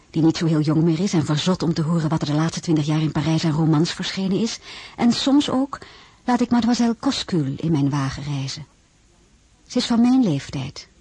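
An actress playing an elegant, homesick and imperious French princess in a radio drama, expressive and theatrical. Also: female voice, deep voice, moderate pace, breathy edge, no echo, exaggerated voice. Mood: reflective, wry. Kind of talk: radio drama acting with first-person narration.

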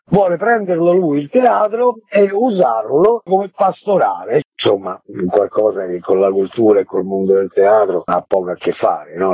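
An earnest man talks on a call-in line, his voice low in brightness and a bit husky. He explains things at length and sounds indignant. The sound is dry and dull, like a lo-fi remote line. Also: no room sound. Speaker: guest, head of a theatre association. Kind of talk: radio interview, explaining a dispute.

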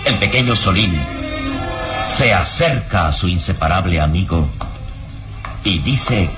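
A man's voice: deep voice, animated, unhurried, over music. Emphatic male radio serial narrator. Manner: emphatic, suspenseful.

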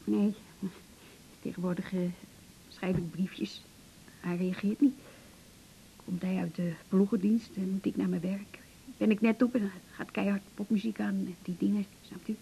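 An actress playing a depressed, pleading woman patient in a radio drama, halting and stammering in short broken phrases. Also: steady tone, vocal fry, dark tone, lightly acted. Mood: dejected. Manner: halting, stammering, repeats words, breaks into short fragments. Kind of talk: naturalistic 1980s Dutch radio drama acting. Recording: noisy older radio recording with rumble.

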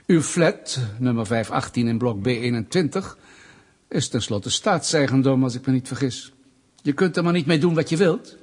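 An actor playing a smug, menacing state official in a Dutch radio play, mock-polite, pedantic and insinuating. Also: male voice, mid-range voice, lively intonation, moderate pace, breathy edge. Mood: accusing, scornful.

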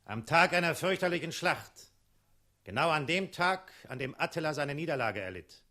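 A male actor playing a cold, imperious dragon in a gentleman's shape, formal and clipped, in a 1960s radio play. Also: fairly high pitch, animated, moderate pace. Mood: grim, boastful. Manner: formal, clipped, menacing courtesy.

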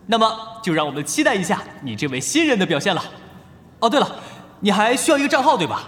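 A man's voice: high voice, very animated, quick pace, a bit bright. A man acting as a showy esports event host in an audio drama, announcing theatrically to an arena crowd. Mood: playful, showy. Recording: echoey arena-style reverb, faint music behind.

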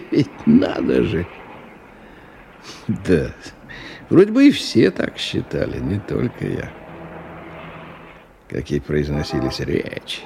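Tired cynical middle-aged man, low and mellow, sardonic, radio play. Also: vocal fry, heavily acted. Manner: wry, sardonic delivery. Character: weary, cynical middle-aged businessman, bitterly musing, politely guarded. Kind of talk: radio drama acting, monologue and dialogue. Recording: noisy 1990 radio play recording.

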